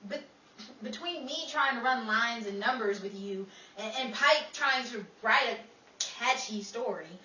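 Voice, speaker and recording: A woman's voice: on the high side, a little slow, sharp tone. A woman playing a sarcastic, worn-out showgirl who wisecracks in a 1930s radio comedy. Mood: weary. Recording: noisy, echoey live hall, far mic.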